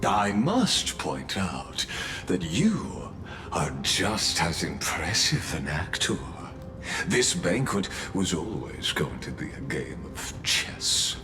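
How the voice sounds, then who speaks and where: Very deep, pompous theatrical villain. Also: male voice, heavily acted. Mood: smug, accusing. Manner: pompous, deliberate, formal and mocking. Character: theatrical, coldly polite male villain, a scheming administrator. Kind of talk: dramatic video game voice acting. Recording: music behind the voice. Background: music.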